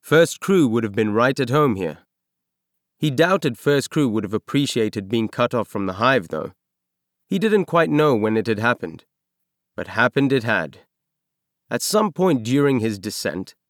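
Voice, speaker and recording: A man reading a science fiction novel aloud as scripted narration, in measured, expressive storytelling. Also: medium pitch, dry recording, clean studio sound. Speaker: audiobook narrator.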